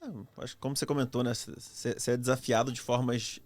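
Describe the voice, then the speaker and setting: Halting Brazilian male podcast host. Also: mid-range voice, fairly even intonation, darkish tone, no echo, soft background music. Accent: Brazilian Portuguese. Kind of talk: casual interview chat.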